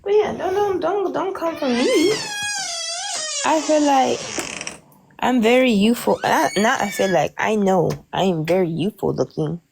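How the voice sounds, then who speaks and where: A woman's voice: on the high side, animated, unhurried, vocal fry, noisy background. A woman in her twenties chatting casually on a livestream, playful and teasing with a mock-indignant edge. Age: 20s. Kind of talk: casual chat.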